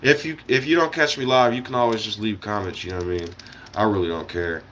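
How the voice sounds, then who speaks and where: A man's voice: lowish voice, fairly even intonation, quick pace, a bit bright, a little hiss or hum. An American man giving a casual, rambling livestream monologue in a blunt, opinionated way, with swearing and meandering asides. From United States. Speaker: live streamer.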